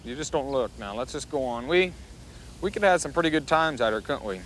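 A man's voice: mid-range voice, expressive, fairly quick, fairly bright tone, a little hiss or hum. A man, a farmer chatting casually with family outdoors. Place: outdoors on farmland.

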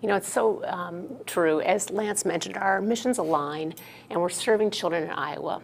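A woman's voice: on the low side, normal speed, gravelly, a little hiss or hum. A woman, speaking earnestly as an executive being interviewed. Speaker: chief executive, interview guest. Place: indoor office room, television shoot.

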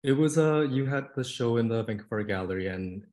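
A man's voice: mid-range voice, lively intonation, fairly quick, a little mellow. A young man presenting his photography on a video call, talking casually and nervously with lots of fillers. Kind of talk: casual artist talk.

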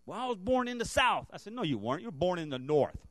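A man's voice: high-pitched, quick pace, no room sound, studio quality. A middle-aged man from Brazil, a guest pastor preaching in a church on a handheld microphone, telling stories and building into fervent prayer. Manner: animated, rising to fervent prayer. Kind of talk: sermon with storytelling. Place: church sanctuary stage.

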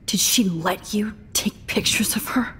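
A young woman playing a defiant, frightened teenage captive in a video game, earnest, emotional and halting. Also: medium pitch, fairly bright tone, soft background music, lightly acted. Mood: anguished. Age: young adult. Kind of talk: dramatic video game acting. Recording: reverberant room with ambient noise.

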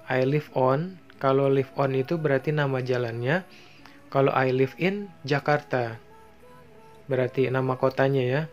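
A man with an Indonesian accent talks at an unhurried, deliberate pace in a mid-range voice. He reads each English phrase aloud and then explains it, pausing on fillers as he goes. Faint music plays underneath his voice-over. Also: mid-range voice. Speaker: English teacher. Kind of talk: bilingual lesson over slides. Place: voice-over on a slideshow.

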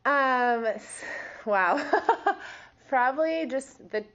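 A woman's voice: sits high, expressive, extremely slow, a bit bright, muffled recording. A young woman in her twenties from Wisconsin, a college volleyball player answering interview questions in a chatty, giggly way with lots of fillers. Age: 20s.

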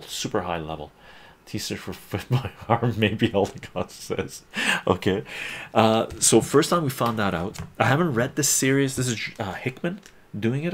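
Gravelly-voiced man hosting a stream auction. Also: on the low side, slight background noise. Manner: chatty, laughs often, repeats phrases. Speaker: streamer running a live auction. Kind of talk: casual live-stream auction chat. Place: sunny room full of plants, indoors.

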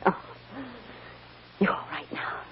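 An actress playing a tender, earnest mother in an old radio drama, speaking with soft, coaxing emotion. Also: takes it slowly, close to an everyday voice. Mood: overcome, loving. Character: tender, earnest young mother reassuring her adopted daughter. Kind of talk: old-time radio soap drama acting. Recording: muffled, noisy old radio recording.

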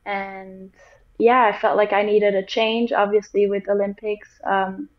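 A young woman from Portugal, a guest on a video call, talking softly and hesitantly with many fillers. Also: medium pitch, flat delivery, a little slow. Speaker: guest, pro surfer. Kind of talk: casual interview over video call. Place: small room indoors. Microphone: laptop or webcam mic on a video call. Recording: dull, lo-fi video call audio.

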